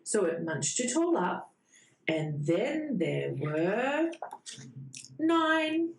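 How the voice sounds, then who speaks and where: A woman reading a bedtime storybook to young children in a sing-song way, with dramatic pauses before key words. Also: fairly high pitch, sing-song. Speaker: children's read-aloud host. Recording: roomy echo.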